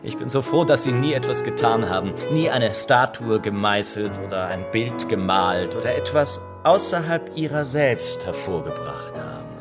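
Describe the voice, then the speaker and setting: An actor in a German radio drama playing a worldly, cynical, middle-aged aristocrat with an urbane, ironic, theatrical delivery. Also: male voice, mid-range voice, lively intonation, moderate pace, few pauses, loud music behind the voice, close to an everyday voice. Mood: languid, admiring. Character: worldly, cynical aristocratic older friend, witty and languid.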